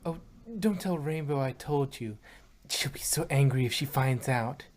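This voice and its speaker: A woman voicing a timid, gentle, anxious female pony with a soft, quiet voice in a fan story reading. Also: extremely low pitch, a little slow. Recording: noisy home microphone with clicks.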